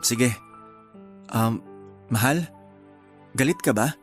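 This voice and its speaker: Young husband, played by a man in a radio drama: apologetic, placating, medium pitch. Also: slow pace, soft background music, lightly acted. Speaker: husband in a radio love story.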